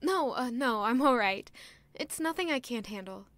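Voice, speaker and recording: A woman's voice: a little high, expressive, moderate pace, a bit bright. An actress playing a polite, anxious young woman in royal service in an audio drama, earnest and a little hesitant. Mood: hesitant, guarded. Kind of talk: scripted audio drama acting.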